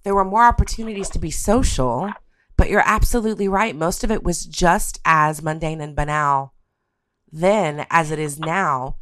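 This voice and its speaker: A woman's voice: deep voice, moderate pace, fairly bright tone, no room sound. A woman hosting a podcast, talking through a topic. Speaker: podcast host.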